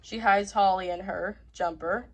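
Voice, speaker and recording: A woman's voice: medium pitch, fairly even intonation, unhurried, lo-fi sound, a little hiss or hum. A woman narrating a children's story she wrote herself, reading it aloud.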